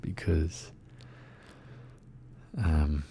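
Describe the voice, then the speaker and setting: A man streaming a game, chatting in a soft-spoken, soothing, rambling way with lots of fillers. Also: extremely low pitch, fairly even intonation, very slow, creaky, a little hiss or hum. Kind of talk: relaxed casual chat while gaming.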